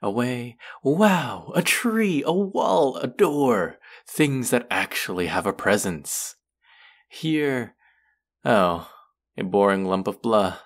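A man's voice: mid-range voice, lively intonation, slow. A man playing a condescending, teasing young twin brother in an audio roleplay, with a mocking, drawling delivery aimed at the listener. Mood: scornful. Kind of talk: scripted roleplay addressed to listener.

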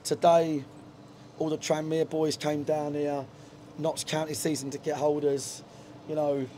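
A man's voice: on the high side, little pitch movement, unhurried, dark tone, a little hiss or hum. A middle-aged man, a football manager, talking frankly in a post-match interview in a stadium dugout, with lots of fillers and restarts. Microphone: handheld reporter mic.

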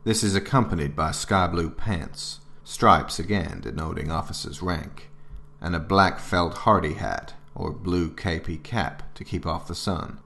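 A stern military man sets out army regulations, voiced by a male actor. His voice is very deep and gravelly. He narrates formally and deliberately, with authority, as in an audio drama. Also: pushed and bright, full character voice. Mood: stern instruction.

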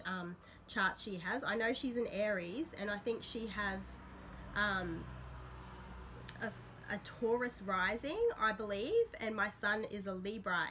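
Slightly croaky, bright-voiced woman vlogging at home. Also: mid-range voice, slight background noise. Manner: chatty and rambling, frequent ums, self-deprecating. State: a little sick, slightly croaky voice. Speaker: substitute host of a witchcraft vlog. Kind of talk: casual to-camera vlog talk. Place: small bedroom indoors. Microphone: built-in camera mic at close range.

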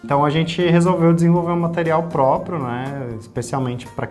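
A man in his thirties with a São Paulo Brazilian accent, talking casually in an interview with lively gestures and frequent tag questions. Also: a little high, fairly quick, soft background music. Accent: Brazilian Portuguese, São Paulo. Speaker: YouTube creator. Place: small office studio.

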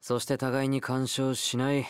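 A man's voice: medium pitch, monotone, normal speed, clean tone, no echo, clean studio sound, lightly acted. A male actor playing a laid-back young convict fighter in a game, blunt, teasing and nonchalant, with a drawl. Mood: lazy, nonchalant. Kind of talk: Japanese game character voice lines.

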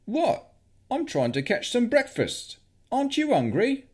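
A brash young troublemaker with a high, whiny voice, squeaking up and down as he argues back, as a man puts him on. He sounds cocky and defiant, pitched far above a normal speaking voice. Storybook reading. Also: wildly swooping pitch, measured pace, breathy edge, full character voice.

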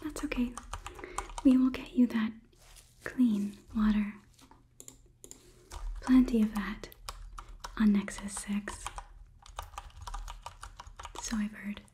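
Young woman doing a polite clinical robot lady, slow gentle asmr whisper, mellow, bit of hiss. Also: mid-range voice, fairly even intonation, close to an everyday voice. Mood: calm, clinical. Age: young adult. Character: polite clinical female robot overlord examining a human. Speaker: ASMR performer. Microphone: close ASMR microphone.

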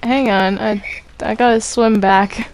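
A woman chatting casually in a game voice chat, hesitant and halting, often sounding confused. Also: medium pitch, fairly even intonation, a little hiss or hum. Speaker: gamer in a group roleplay.

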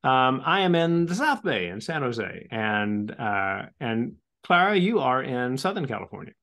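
A man's voice: mid-range voice, big pitch swings, measured pace, a bit bright. A man in his fifties hosting an online club meeting, friendly and upbeat, often repeating words. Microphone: video call.

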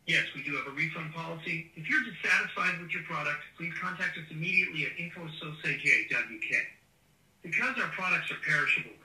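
A man's voice: fairly high pitch, bright, forceful tone. A synthetic male voice working as a polite phone customer service agent, played from a phone speaker. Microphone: phone loudspeaker picked up across a short gap. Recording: roomy, distant phone speaker playback.

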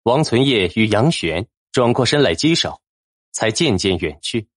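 Lively low-voiced male audiobook narrator. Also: measured pace, no echo, clean studio sound. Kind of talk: dramatic scripted narration of a Chinese fantasy novel.

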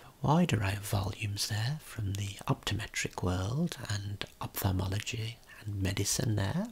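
Guy doing asmr tour narration, real slow breathy whisper, kinda crackly, gentle explaining. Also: fairly low pitch, lively intonation. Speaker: ASMR creator giving a guided tour.

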